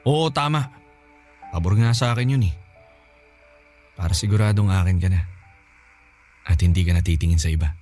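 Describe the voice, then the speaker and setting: A man's voice: low voice, normal speed, long pauses, over music, lightly acted. An actor playing an earnest, pleading young Filipino man in love with his best friend in a radio drama. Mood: soft, reassuring. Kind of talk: emotional radio drama acting.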